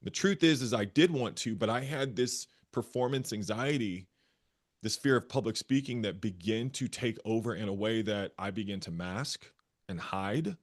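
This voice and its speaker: Low-voiced man telling his story earnestly. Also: normal speed. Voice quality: bass voice. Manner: earnest, repeats phrases while searching for words. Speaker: therapist and guest. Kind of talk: candid personal storytelling.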